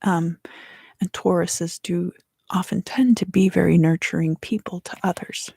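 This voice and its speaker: Asmr tarot lady, super breathy whisper, bit of fry, really dry sound. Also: lowish voice, fairly even intonation, no room sound. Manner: slow, hushed, gentle delivery with pauses. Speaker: tarot reader and host.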